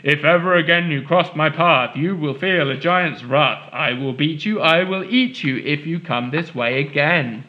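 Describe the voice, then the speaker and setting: A huge, hungry giant booming out chanted threats, loud and menacing, as a man reads him aloud. The voice sits a touch high for a man. The recording is dull and lo-fi. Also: measured pace, few pauses, natural voice. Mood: roaring.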